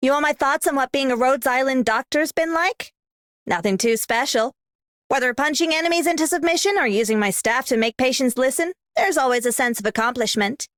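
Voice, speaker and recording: No-nonsense game medic woman, high-pitched and brash, dry recording. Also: animated, fairly quick, a bit bright, no room sound. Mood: blunt, proud. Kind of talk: scripted game character voice line.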